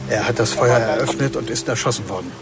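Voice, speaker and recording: An actor playing a matter-of-fact police tactical commander reporting in clipped, factual phrases in a radio drama. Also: male voice, mid-range voice, quick pace, vocal fry, loud music behind the voice, lightly acted. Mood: businesslike, alert.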